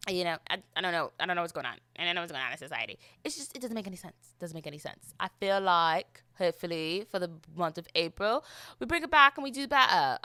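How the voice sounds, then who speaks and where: A woman's voice: medium pitch, dry recording. A young American woman from Florida putting on a British accent, chatting casually and expressively as a solo podcast host in a rambling monologue. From Florida, United States. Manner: expressive, rambling, exasperated emphasis with repeated phrases.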